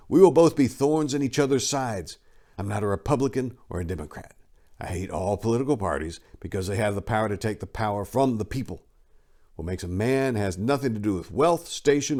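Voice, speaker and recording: A man's voice: on the low side, darkish tone. A man reading a memoir aloud as a dramatic book reading, with an emphatic, declamatory delivery. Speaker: reader of a memoir.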